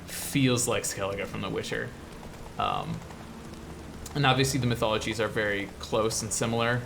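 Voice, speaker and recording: A man's voice: fairly low pitch, animated, normal speed, gravelly, background noise. An American man from the Midwest hosting casual game commentary, rambling and explanatory with an enthusiastic manner. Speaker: show host, 3D artist.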